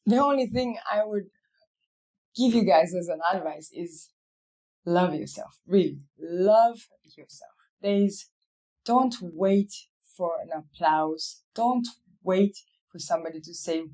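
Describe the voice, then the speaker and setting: A woman's voice: mid-range voice, animated, measured pace, dark tone, poor audio. A woman from Africa speaking as an interview guest in a motivational way, repeating key phrases for weight. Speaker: interview guest, real estate company CEO.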